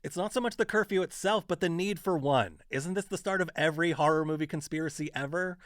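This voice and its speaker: Actor as a high-voiced, skeptical young man. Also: quick pace, a bit bright, dry recording, clean studio sound, lightly acted. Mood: sardonic, alarmed. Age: young adult. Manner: sardonic. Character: skeptical, conspiracy-minded young island man. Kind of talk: audio drama acting.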